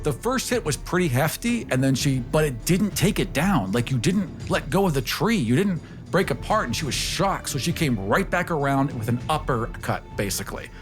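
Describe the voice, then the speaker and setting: A man's voice: on the high side, lively intonation, normal speed, few pauses, faint music behind. A man playing a tabletop game, casually describing his character's moves and rolls. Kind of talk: casual tabletop role-play chat.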